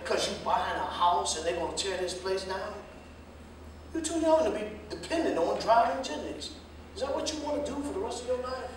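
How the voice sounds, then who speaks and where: Echoey, far-off actor as high-voiced older American jitney driver. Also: male voice, lively intonation, brisk. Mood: earnest, advising. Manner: folksy, emphatic, lecturing. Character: older railroad pensioner jitney driver, fatherly, plain-spoken adviser. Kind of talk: staged play reading, dialogue acting. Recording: distant mic, echoey hall, faint noise.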